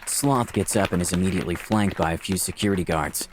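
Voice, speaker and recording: A man's voice: deep voice, fast, noisy background, close to an everyday voice. A man voicing a thoughtful, cautious visual novel protagonist in first-person, introspective narration. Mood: reflective. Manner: reflective, introspective.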